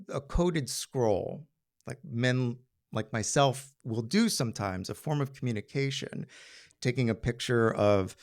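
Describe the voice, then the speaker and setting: A man's voice: medium pitch, creaky. A man telling a personal story live, as a storyteller before an audience.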